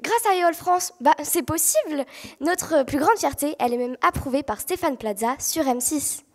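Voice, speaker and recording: A woman's voice: sits high, animated, normal speed. A young woman playing a chirpy company representative with a promotional sales patter in a student audio drama. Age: teenager. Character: chirpy sales-pitching female community manager.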